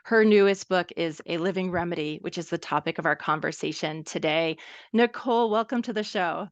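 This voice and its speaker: A woman hosting a podcast, reading a prepared introduction and asking measured interview questions. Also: fairly low pitch, no room sound, muffled recording. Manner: measured, reads prepared remarks. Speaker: podcast host.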